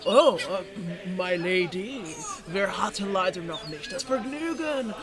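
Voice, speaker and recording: A woman's voice: mid-range voice, animated, slow pace, crowd noise. A woman playing a courteous, suave male sea captain in a comedic audio play, with flowery politeness.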